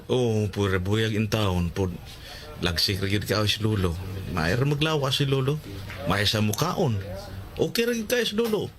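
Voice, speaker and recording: An actor playing an easygoing, caring young man in a radio drama, with a Cebuano Filipino accent and a gentle, hesitant manner. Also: lowish voice, animated, moderate pace, natural voice. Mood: easygoing, teasing.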